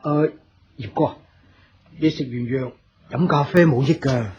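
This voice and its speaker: An actor playing a loyal, tactful aide in a radio drama, hesitant and soothing, with a Hong Kong Cantonese accent. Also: male voice, medium pitch, unhurried, darkish tone, slightly rough, dull audio. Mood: deferential, concerned. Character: loyal, tactful male aide to a stern boss.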